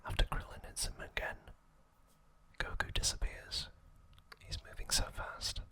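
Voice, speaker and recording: A man hosts an ASMR recap entirely in a whisper. He speaks very slowly and softly, laying out the story in short, measured phrases with pauses between them. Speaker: ASMR channel host.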